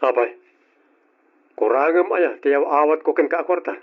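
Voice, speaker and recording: A stubborn husband acted by a man in an old radio serial, with a Filipino accent and a somewhat high male voice. He is scornful and argumentative, and the sound is dull and lo-fi. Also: normal speed, close to an everyday voice. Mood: scornful, irritated. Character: scornful, stubborn husband arguing about money. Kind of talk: old-fashioned Ilocano radio drama acting.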